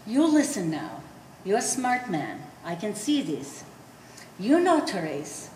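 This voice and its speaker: In a reverberant hall with faint noise, a woman acts out an old immigrant mother in a stage play. She is shrewd and quarrelsome, arguing in blunt broken English with a lively, swooping delivery. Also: medium pitch, slow. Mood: pressing, shrewd. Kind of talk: live stage play acting. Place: art gallery stage reading.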